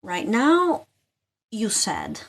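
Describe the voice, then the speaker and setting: A woman hosting a live tarot session, talking informally and haltingly to viewers, often asking questions and repeating her phrases. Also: mid-range voice, wildly swooping pitch, vocal fry, slight background noise.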